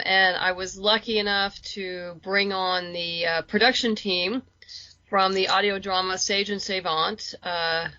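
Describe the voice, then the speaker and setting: A woman's voice: lowish voice, sharp tone, no room sound. A woman chatting casually as an interview guest, talkative and a little self-deprecating. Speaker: podcast guest, writer and producer. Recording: dull lo-fi voice track.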